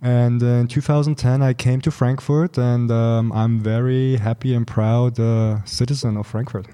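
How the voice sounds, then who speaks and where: A man's voice: medium pitch, steady tone, moderate pace, mellow, clean tone. A man in his thirties from Poland, raised in Germany, chatting as a podcast guest and telling stories with lots of "you know" and "like". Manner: chatty, storytelling, many fillers like "you know" and "like". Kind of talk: casual conversational interview.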